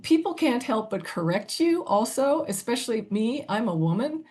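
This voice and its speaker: Older woman with a youthful, chatty voice. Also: moderate pace. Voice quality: youthful-sounding voice. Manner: chatty, lively pitch swings, frequent "you know" and "right?". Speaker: webinar presenter. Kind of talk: conversational webinar talk.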